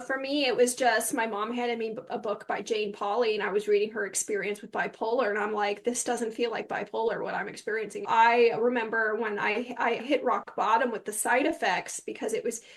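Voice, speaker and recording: A woman's voice: on the high side, fairly even intonation, brisk, few pauses. A young woman earnestly and emphatically telling her personal story as an interview guest.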